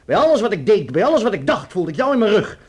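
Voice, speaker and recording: A very high, excitable young male voice in a radio drama. He is a resentful, rebellious son, sarcastic and defiant, with pitch that swings widely. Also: fast talker, slightly rough, exaggerated voice. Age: young adult. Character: rebellious, resentful student son in his early twenties. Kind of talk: Dutch radio play acting.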